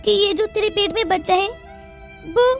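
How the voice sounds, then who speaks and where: A woman's voice: very high-pitched, moderate pace. A woman voicing a concerned, coaxing middle-aged mother-in-law in a melodramatic Hindi cartoon story. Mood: concerned, doubtful. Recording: music under the voice.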